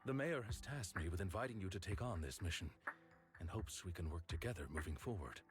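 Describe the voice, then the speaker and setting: An actor playing an earnest, courteous and remorseful male agent in a video game, speaking in a formal, measured way. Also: low voice, lively intonation. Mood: solemn. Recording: background music behind the voice.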